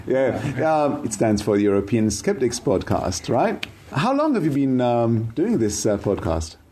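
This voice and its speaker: A man interviewing podcast guests, measured and slightly hesitant with frequent ums. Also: medium pitch, very animated, warm tone, slight background noise. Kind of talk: casual interview. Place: conference venue.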